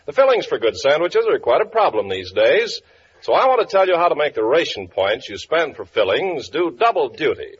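A man's voice: a little high, very animated, fairly quick. A man reading a 1940s radio commercial as an announcer, with emphatic, enthusiastic salesmanship. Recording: dull, faintly noisy vintage radio broadcast.

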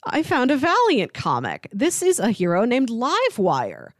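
A woman's voice: a little high, huge pitch swings, normal speed, no room sound, studio quality. A woman co-hosting a podcast, talking casually and reading out short blurbs. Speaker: podcast co-host.

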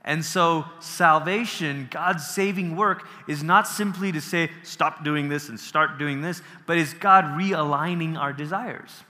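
A man's voice: a little high, unhurried, hardly any pauses, bright, forceful tone, big-room sound. A man from Malaysia giving a casual workshop lecture on theology, talking conversationally, checking in with the audience and often restarting phrases. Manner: conversational, asks the audience questions, restarts, laughs easily. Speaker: workshop speaker.

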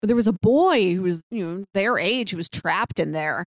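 A woman's voice: medium pitch, animated, moderate pace, no room sound, studio quality. A woman co-hosting a podcast, casually talking over a TV drama in a rambling storytelling way. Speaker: podcast co-host. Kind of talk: casual chat about a TV drama.

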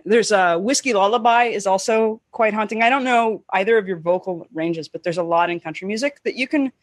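A woman co-hosting a podcast in casual chat, lively and animated, with a slightly scratchy throat. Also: medium pitch, no echo, clean studio sound. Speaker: podcast co-host.

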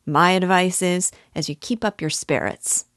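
A woman's voice: on the low side, unhurried, a bit bright, dry recording, studio quality. A woman voicing an old male English village busybody with a rural dialect, self-important and neighborly, in a storybook reading. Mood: garrulous, opinionated. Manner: rambling, opinionated, advice-giving.

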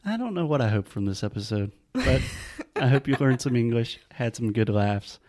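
A man's voice: medium pitch, animated, normal speed, darkish tone. A man co-hosting a casual chat podcast, patiently explaining English pronunciation. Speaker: podcast co-host, English teacher.

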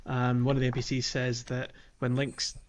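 A man's voice: mid-range voice, monotone, moderate pace. A man co-hosting a podcast, casually talking through a video game in a conversational, somewhat hesitant way. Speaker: podcast co-host.

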